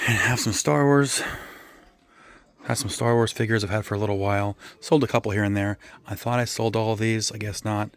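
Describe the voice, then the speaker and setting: A man with a hoarse, raspy voice, casually chatting through a reseller vlog, rambling with lots of ums and self-corrections. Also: fairly low pitch, fairly even intonation, a little fast. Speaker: reseller vlogger. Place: his resale booth and home workspace.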